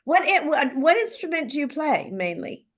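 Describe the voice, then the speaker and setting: A woman's voice: high-pitched, big pitch swings, normal speed, a bit bright. An older woman, a singer and retired teacher, chatting cheerfully on a video call and laughing often. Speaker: singer and musical writer, guest. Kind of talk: casual video call chat.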